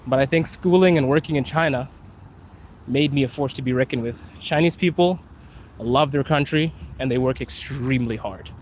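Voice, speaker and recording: A man from Zimbabwe chatting casually on a livestream, answering viewers' questions in a relaxed way. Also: medium pitch, moderate pace. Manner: relaxed, chatty, says bro and dude. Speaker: vlogger answering live chat. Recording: street noise with passing cars.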